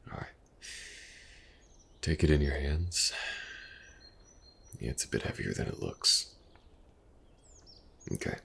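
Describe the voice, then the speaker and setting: A man playing an elven mercenary companion in an intimate fantasy audio roleplay, patient and gentle, with a hesitant, casual way of talking. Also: expressive, full character voice. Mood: friendly, encouraging. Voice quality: very deep, gravelly. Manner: hesitant, soft-spoken, casual, with halting pauses.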